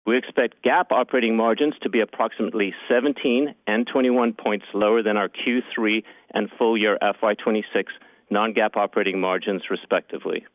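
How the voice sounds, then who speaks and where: A man working as a finance executive reads scripted earnings call remarks in a formal, measured manner. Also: lowish voice, steady tone, no echo. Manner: formal, measured delivery of figures. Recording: narrowband conference phone line.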